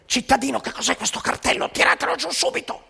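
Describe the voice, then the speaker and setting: A man's voice: extremely high pitch, big pitch swings, fast, creaky, pushed and bright, breathy edge, voice pushed up, exaggerated voice. A man putting on an officious male parade steward who scolds an old marcher in an indignant, barking voice. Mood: scolding. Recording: live stage in a reverberant hall.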